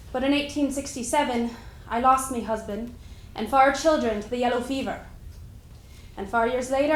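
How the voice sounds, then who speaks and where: Woman acting old woman agitator, feisty, proud, higher-pitched, roomy echo, staged historical monologue. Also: lightly acted. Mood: mournful. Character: feisty, proud old Irish-born woman labor organizer. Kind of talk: first-person historical monologue, staged dramatic reading. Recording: echoey room.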